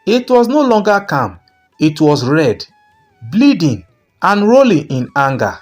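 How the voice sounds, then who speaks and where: Animated storyteller over music. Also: mid-range voice, a little slow. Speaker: storyteller, narrator. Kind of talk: dramatic scripted folktale narration.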